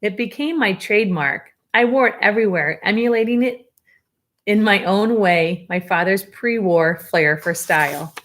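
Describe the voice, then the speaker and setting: A woman's voice: medium pitch, normal speed. Woman teacher reading a book aloud. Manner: steady read-aloud with small slips, self-corrections and chatty asides. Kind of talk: reading a memoir aloud to students.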